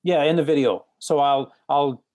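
A man's voice: on the high side, a little mellow. Hesitant man with a slight French accent. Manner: halting, restarts often, many "you know"s. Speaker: real estate agent. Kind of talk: casual coaching conversation.

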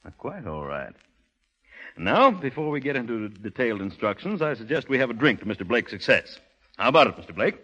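An actor playing a formal, polite banker who is secretly a crime boss, speaking with authority in a 1930s radio drama. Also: male voice, mid-range voice, big pitch swings, brisk, a bit bright, lo-fi sound. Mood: commanding, polite.

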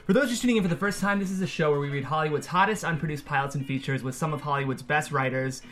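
A man's voice: on the high side, racing, a bit bright, a little hiss or hum. A man hosting a studio table-read show, presenting and reading out screenplay narration in an upbeat way.

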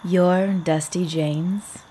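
Slow-talking, coolly alluring woman. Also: on the low side, fairly even intonation, mellow, slight background noise. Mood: coy, amused. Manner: unhurried, wry, coy. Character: cool, alluring young female record company rep. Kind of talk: horror audio drama acting.

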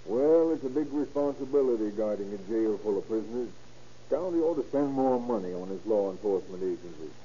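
Man playing a dark-voiced American jail officer. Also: on the low side, expressive, brisk, lightly acted. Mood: conversational. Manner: formal, matter-of-fact. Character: businesslike male jail officer in charge of prisoners. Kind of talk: 1930s radio crime drama acting. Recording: dull, noisy old radio recording.

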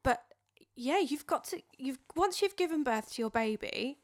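A young pregnant woman with a Dartford accent, co-hosting a casual chat, teasing and quick to laugh. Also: high-pitched, lively intonation. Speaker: podcast co-host.

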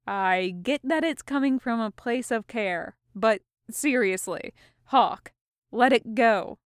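Worldly dwarf storyteller type, male, played by a woman, knowing, wry, measured pace, dry. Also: on the high side, clear, resonant tone, dry recording. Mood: weary, firm.

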